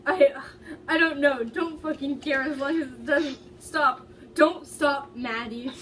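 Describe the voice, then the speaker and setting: A woman plays a flirtatious male singer in an amateur fan story reading. The lines are teasing and pitched rather high. The sound is distant and clearly noisy. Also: steady tone, a little slow. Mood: breathless, eager. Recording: distant mic with loud background noise.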